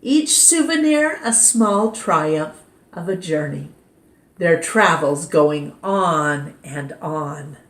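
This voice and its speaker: A woman reading a picture book aloud at storytime in a gentle, expressive way. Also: low-pitched, slow. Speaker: storytime reader. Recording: roomy echo.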